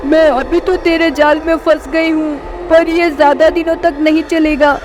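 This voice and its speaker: औरत की आवाज़ में ऊँचे सुर वाली डरावनी चुड़ैल. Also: female voice, high voice, little pitch movement, unhurried. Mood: defiant. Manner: threatening, taunting. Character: menacing, vengeful female witch threatening her prey. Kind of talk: animated horror story acting. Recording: background music and clear noise.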